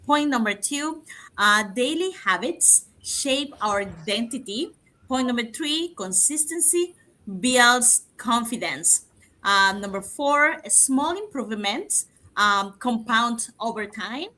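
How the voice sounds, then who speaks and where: Woman from cuba, higher bright voice, reading the points out. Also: expressive, takes it slowly, poor audio. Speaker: nurse, panel co-host.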